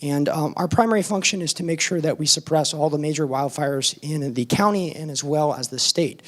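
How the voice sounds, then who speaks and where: A hoarse man presents to a forum audience, his voice worn from weeks of teaching. He sits a little high for a man and talks in a loose, informal way, full of ums and uhs. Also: fairly quick, fairly bright tone. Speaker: fire department battalion chief presenting at a forum.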